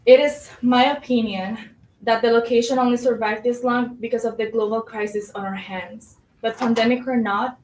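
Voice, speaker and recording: A woman playing an earnest, determined labor official, delivering a formal, measured monologue in a staged reading. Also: on the high side, fairly even intonation, darkish tone, slight background noise, natural voice. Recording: echoey room.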